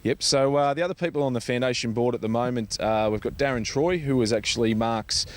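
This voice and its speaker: An Australian man giving informal interview answers as a guest, speaking in a hesitant, halting way with many pauses. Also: medium pitch. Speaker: interview guest, charity foundation organiser.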